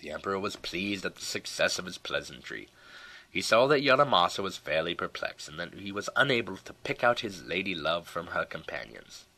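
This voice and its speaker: A man reading a scripted story as an audiobook narrator, in a measured, formal storytelling manner. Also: deep voice, big pitch swings, sharp tone, low-quality recording.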